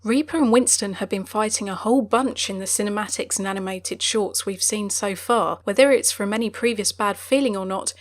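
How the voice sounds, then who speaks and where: A woman's voice: mid-range voice, a little fast, hardly any pauses. A woman narrating game character lore in a chatty, speculative scripted style with light jokes. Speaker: video narrator.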